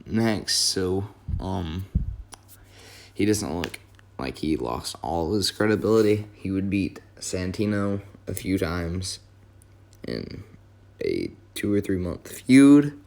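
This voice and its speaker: A hoarse young man, his voice worn from yelling, rambling casually through a wrestling talk with many fillers and self-corrections. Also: deep voice, very animated, slow pace. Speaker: wrestling video host. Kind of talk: casual fantasy-booking commentary.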